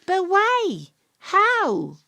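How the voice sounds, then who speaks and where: A woman's voice: very high-pitched, wildly swooping pitch, very slow, gravelly, pushed and bright, exaggerated voice. An actress playing a plucky, eager young woman investigator in an audio drama, full of exclamations. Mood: puzzled, urgent.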